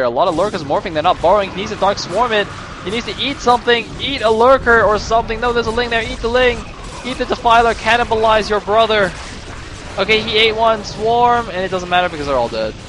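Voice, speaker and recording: A hoarse young man doing solo play-by-play commentary on a game, chatty and rambling, jumping into excited bursts. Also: fairly high pitch, background noise. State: losing his voice, a little sick. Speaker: esports caster. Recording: music playing underneath.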